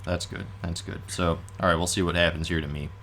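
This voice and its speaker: A young man, slightly hoarse, casually thinking aloud and explaining board game tactics with many fillers. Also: deep voice, steady tone, brisk, a bit bright, a little hiss or hum. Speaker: board game show co-host.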